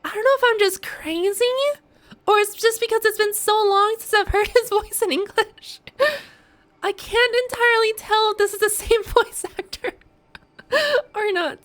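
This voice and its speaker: A young adult woman giving casual, playful and expressive reaction commentary as a game streamer. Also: very high-pitched, normal speed.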